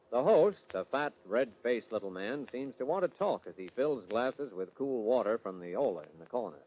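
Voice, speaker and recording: A man narrating an old-time radio western in a scripted storytelling style. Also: mid-range voice. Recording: tinny old radio recording, music behind. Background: music.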